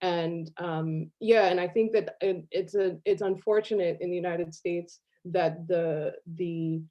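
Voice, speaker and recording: Lively woman talking on a video call. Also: on the low side, measured pace. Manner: animated, laughs often, many fillers like "like". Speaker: guest artist. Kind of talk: casual online conversation. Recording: slightly dull video call audio.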